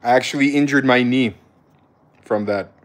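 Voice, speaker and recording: A man's voice: mid-range voice, normal speed. Casual American man chatting on a livestream. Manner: blunt, slangy, conversational. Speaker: streamer, content creator. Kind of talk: casual livestream chat, answering viewer questions.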